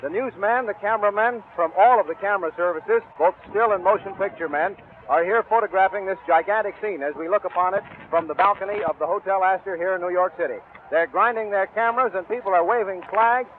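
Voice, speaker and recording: Very high-pitched American man, vintage outdoor radio commentary. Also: quick pace. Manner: descriptive, fluent, formal address to listeners. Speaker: radio reporter. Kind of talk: 1940s live radio commentary. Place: outdoors on a hotel balcony. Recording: muffled, faintly noisy 1940s outdoor broadcast.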